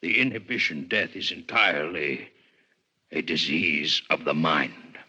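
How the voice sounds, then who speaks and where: An actor playing a grave, coldly intellectual alien physician in a 1950s radio drama, speaking in formal, measured lectures with a husky voice. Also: male voice, a bass voice, animated, sharp tone, highs cut off, exaggerated voice. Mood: grave, lecturing. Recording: 1950s radio broadcast recording.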